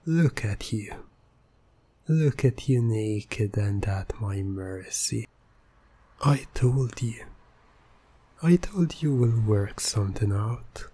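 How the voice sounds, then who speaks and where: Guy doing asmr hypnosis roleplay, super slow soft dark voice, breathy, bossy seductive hypnotist. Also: on the low side, expressive. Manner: slow, commanding, hushed, often slipping toward whispering. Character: controlling, seductive male hypnotist toying with a captive. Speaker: roleplay performer.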